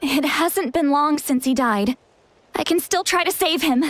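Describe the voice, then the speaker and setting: A woman's voice: high voice, unhurried, slight background noise. A woman playing an earnest, caring young female spirit guide in a game, with a pleading manner. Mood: regretful. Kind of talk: English game dub acting.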